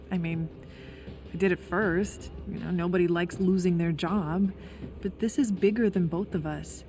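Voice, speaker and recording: A woman's voice: fairly low pitch, steady tone, measured pace, mellow, a little hiss or hum, close to an everyday voice. A young woman playing a determined, caring corporate insider in a video game, earnest and reassuring. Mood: subdued, earnest. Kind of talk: video game cutscene acting.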